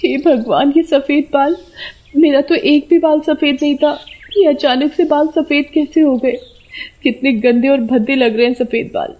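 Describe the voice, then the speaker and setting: A woman's voice: fairly even intonation, measured pace, highs cut off. A woman voicing a distressed, vain village wife in a bedtime story, with plaintive exclamations. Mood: dismayed. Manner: high, plaintive wailing exclamations.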